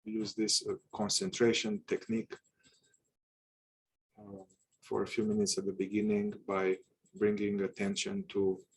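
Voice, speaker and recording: A man guiding a meditation with calm instruction, speaking in unhurried, halting short phrases with long pauses. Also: on the low side, breathy edge, poor audio. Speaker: meditation guide.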